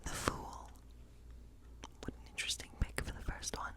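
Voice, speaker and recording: A woman playing a gentle witch in an ASMR tarot role play, speaking in a soft, breathy, unhurried whisper. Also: lightly acted. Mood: gentle, playful. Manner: gentle, unhurried, softly musing. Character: friendly cottage witch woman reading tarot by night.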